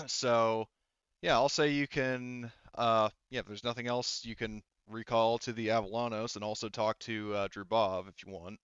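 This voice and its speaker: A man running a tabletop game as game master, talking casually and piecing his narration together haltingly as he goes. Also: mid-range voice, steady tone, fairly bright tone, smooth, no echo, dull audio.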